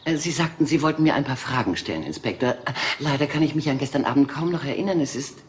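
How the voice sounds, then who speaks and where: An actress playing a poised, gracious aging film star in a radio play. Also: female voice, very deep voice, lively intonation, quick pace, slight background noise. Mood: guarded. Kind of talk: German radio play acting.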